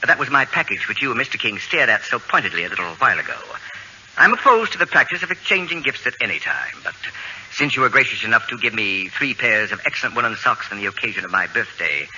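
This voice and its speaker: Pompous, fast-talking haughty gentleman. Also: mid-range voice, big pitch swings. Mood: dry, formal. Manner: pompous, precise, acerbic, formal diction. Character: haughty, fastidious know-it-all live-in male helper, middle-aged. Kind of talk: 1940s radio comedy acting. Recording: dull, noisy old radio broadcast recording.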